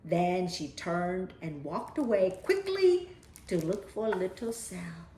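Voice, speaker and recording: A woman reading and talking to children as a storyteller, with deliberate, emphatic phrasing. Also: lowish voice, sing-song, mellow, slight background noise. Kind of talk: children's read-aloud storytelling. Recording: echoey, roomy sound.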